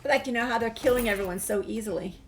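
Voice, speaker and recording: A woman's voice: fairly high pitch, fast talker, low-quality recording. A woman giving casual, playful commentary as she plays through a game. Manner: informal, playful. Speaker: gaming video host. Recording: faint background noise. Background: game ambience.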